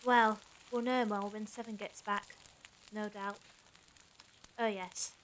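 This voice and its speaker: A woman's voice: medium pitch, steady tone, slow, close to an everyday voice, low-quality recording. Woman acting a level-headed sceptic, faint noise. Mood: sceptical. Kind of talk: fan audio drama acting.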